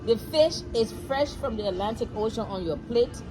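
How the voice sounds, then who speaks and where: A middle-aged woman from Liberia, a guest chatting casually and telling lively stories with frequent laughter. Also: fairly high pitch, moderate pace, rough recording. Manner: laughs often, animated storytelling. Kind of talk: casual chat. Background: music.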